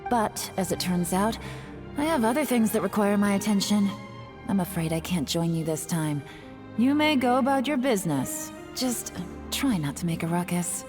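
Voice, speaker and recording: A woman playing an enigmatic female agent in an English video game dub, composed, teasing and quietly authoritative. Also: mid-range voice, animated, normal speed, music in the background, lightly acted. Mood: cool, knowing. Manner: composed, coy, controlled.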